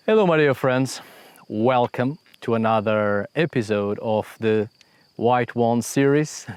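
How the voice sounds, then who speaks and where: A man from Portugal with a Portuguese accent, speaking in a thoughtful, soft-spoken way with many pauses and fillers. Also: medium pitch, dry recording. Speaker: host of a folk magic channel. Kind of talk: reflective spoken talk.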